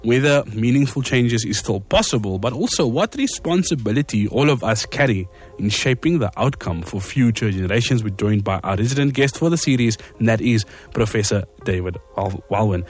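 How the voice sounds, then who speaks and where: A man's voice: on the low side, animated, a little fast, hardly any pauses, husky, faint music behind. A man hosting an evening radio talk show from the studio, upbeat and conversational. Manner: upbeat, conversational, occasional laughs. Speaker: radio host.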